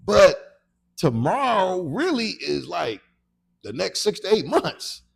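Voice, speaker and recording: American male co-host, late forties, heavy vocal fry and raspy tone, conversational and reflective. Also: high-pitched, very animated, slow. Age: late 40s. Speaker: podcast co-host.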